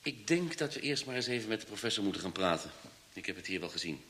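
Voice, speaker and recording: Police inspector: wry, brisk, lively low-pitched delivery, radio drama. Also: male voice, a little hiss or hum. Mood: brisk, deductive. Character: sharp, wry male police inspector of Russian descent. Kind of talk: radio play acting in Dutch.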